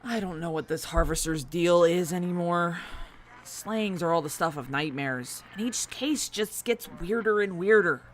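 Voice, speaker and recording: A man's voice: sits high, measured pace. A man playing a frank, casual police officer briefing a superior in an audio drama, matter-of-fact and conversational. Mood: uneasy. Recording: noisy scene, siren ambience behind.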